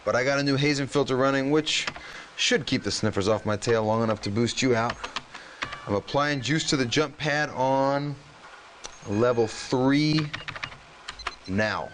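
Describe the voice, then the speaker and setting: An actor playing a brisk, cocky underground hacker in a video game cutscene, talking in slangy operator clips. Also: male voice, mid-range voice, a bit bright, lightly acted. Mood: brisk, urgent. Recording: noisy game cutscene audio.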